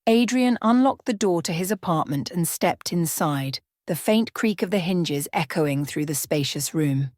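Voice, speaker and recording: Woman, lower-pitched voice, dry close sound, scripted story narration, ASMR bedtime storyteller. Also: animated, unhurried, hardly any pauses, a little mellow, dry recording.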